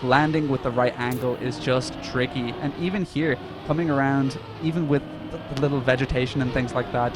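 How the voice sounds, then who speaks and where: A man co-casting an esports stream in a casual, joking, mock-earnest style. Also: medium pitch. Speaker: esports co-caster.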